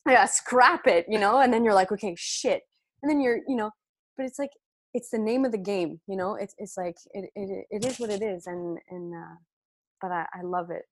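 A woman's voice: mid-range voice, normal speed. A French Canadian woman whose first language is French, chatting as a podcast guest with many fillers and restarts. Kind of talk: casual podcast chat.

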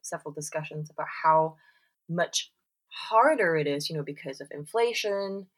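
A woman in casual conversation, talking in an animated way with lots of fillers and laughter. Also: low-pitched, moderate pace. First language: English. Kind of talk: casual chat.

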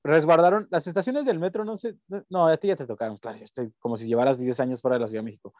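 Young mexican dude rambling on a podcast, dry close sound, lots of slang. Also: male voice, a little high, rapid-fire, darkish tone, dry recording. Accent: Mexican Spanish. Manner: slangy, rambling, breaks into bits of song. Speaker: podcast co-host. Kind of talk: casual chat.

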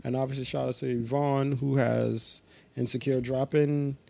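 Loud gravelly young man, casual banter. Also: mid-range voice, normal speed. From Ghana. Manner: loud, animated, slangy. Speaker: podcast co-host.

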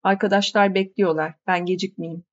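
A woman reads the part of a talkative village fisherman in a storybook, friendly and patient, explaining things step by step. The voice sits a little higher and louder than her usual reading. The sound is slightly muffled. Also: steady tone, normal speed, natural voice.

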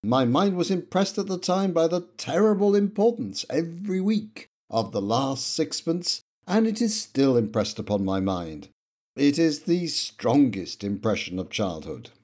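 A man narrates a history podcast from a script, his pitch rising and falling with lively emphasis. His tone is mellow and dark. There is a slight huskiness to it. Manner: measured, lively intonation, reading quotations.

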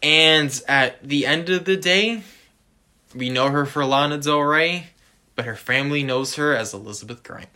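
A man co-hosting a casual music podcast, talking to listeners directly in an animated way and leaning on stock phrases. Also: a little high, moderate pace. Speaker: podcast co-host.

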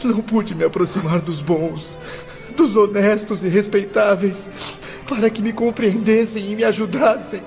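A man's voice: sits high, steady tone, normal speed, vocal fry, a little mellow, dull audio, faint music behind. A man playing a bitter young orphaned prisoner in a melodramatic radio play, lamenting tearfully. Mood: sorrowful lament. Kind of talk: melodramatic radio drama monologue.

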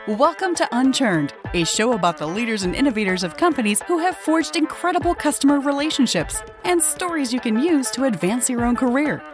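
A woman's voice: sits high, sing-song, brisk, hardly any pauses. A woman reading a polished scripted show intro as announcer. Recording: studio voice-over over music.

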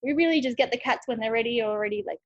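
A woman with a slightly high, husky voice talks casually about her work, thinking aloud with frequent "like"s and restarts. The sound is dry and a little dull, as if from a lo-fi recording. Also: very fast, no echo. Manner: chatty, thinks aloud with many likes and restarts. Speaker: rescue cat cafe owner. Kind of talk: casual interview answers.

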